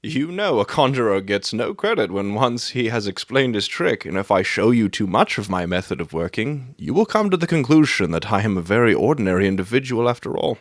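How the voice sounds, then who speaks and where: A man performs a brilliant male detective for a dramatic audiobook. The voice sits low and swings widely in pitch as he lectures with precise, proud self-assurance, recorded dry and close. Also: sing-song, brisk, hardly any pauses, dry recording. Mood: assured, confiding. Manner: lecturing, matter-of-fact, self-satisfied.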